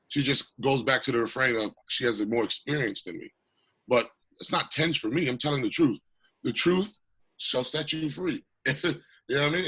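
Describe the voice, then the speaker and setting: A man with a husky, raspy voice talks with passion and emphasis as an interview guest, still recovering from an illness. His tone is bright and forward. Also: mid-range voice, poor audio. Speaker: congressional candidate, guest. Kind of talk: remote interview.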